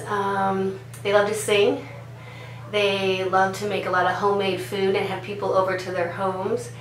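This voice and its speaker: A woman in her thirties, born in Denmark with Danish as her first language, chatting casually as a pediatrician answering interview questions, with lots of "uh" and "um". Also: mid-range voice. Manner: chatty, with frequent "uh" and "um" fillers. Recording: echoey room with faint hum.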